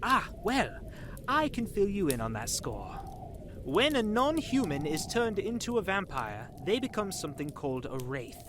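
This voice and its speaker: A woman's voice: fairly low pitch, big pitch swings, normal speed, noisy background. A woman voicing an eager, easily shocked and informal friend in a fantasy audio drama. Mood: eager explaining.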